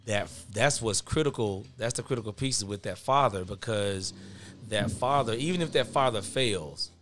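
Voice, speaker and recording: A man's voice: fairly low pitch, moderate pace, a little hiss or hum. Big-voiced middle-aged man, earnest and candid. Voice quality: big, naturally forceful voice. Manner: earnest and candid, speeds up and swells in volume when making a point. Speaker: guest, father of boys. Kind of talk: casual podcast conversation.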